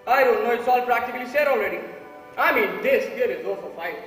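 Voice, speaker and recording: A man's voice: very high voice, animated, quick pace, fairly bright tone, soft background music. A young man with an Indian accent acting a scornful juror in an amateur stage play. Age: young adult. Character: scornful, loud juror sure of guilt. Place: large auditorium stage. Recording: distant, very echoey auditorium.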